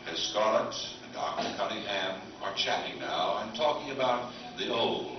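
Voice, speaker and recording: A man's voice: lowish voice, expressive, normal speed, close to an everyday voice. A man playing a grandiose radio soap opera announcer, pompous and dramatic in an old-time serial parody. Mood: portentous. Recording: echoey hall, distant, muffled, music behind.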